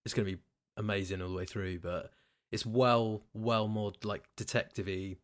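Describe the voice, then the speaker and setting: A man's voice: fairly low pitch, steady tone, moderate pace. A British man hosting a podcast in casual chat about games and films. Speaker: podcast host.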